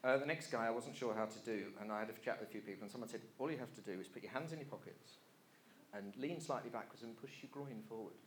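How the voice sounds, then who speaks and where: A man performing stand-up comedy impressions at a convention comedy night, with deadpan, self-mocking asides to the audience. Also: medium pitch, quick pace. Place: conference room with a live audience. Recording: echoey room with loud hiss.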